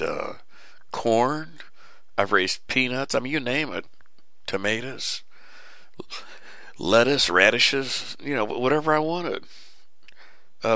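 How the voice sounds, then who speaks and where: Middle-aged man, deep gravelly voice, bright edge, folksy preachy radio host, voice wearing thin, dry studio sound. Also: dry recording. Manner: folksy, preachy, many fillers and restarts. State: voice starting to give way. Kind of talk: rambling monologue to listeners. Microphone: studio mic with cough button.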